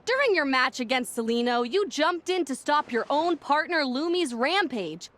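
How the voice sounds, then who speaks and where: A woman's voice: high voice, animated, moderate pace, slight background noise. A woman playing an upbeat, showy tournament announcer and interviewer in a video game. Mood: cheerful, courteous. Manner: upbeat showy presenter delivery. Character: bubbly female tournament announcer interviewing contest finalists. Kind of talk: game voice acting, event hosting. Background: loud background music.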